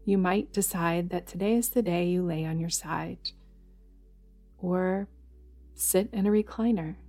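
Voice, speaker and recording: A woman guides a meditation in a fairly low voice, speaking very slowly and gently. She leaves long, soothing pauses between her instructions. Music plays behind her. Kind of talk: guided yoga nidra meditation. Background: background music.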